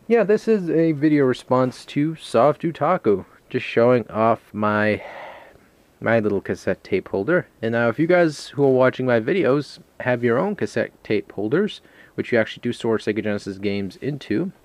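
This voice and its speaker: A man's voice: medium pitch, lively intonation, moderate pace, no echo. A man doing a casual, unscripted show-and-tell vlog in a rambling, hesitant, self-deprecating way. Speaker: video game collector, vlogger. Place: a room at home.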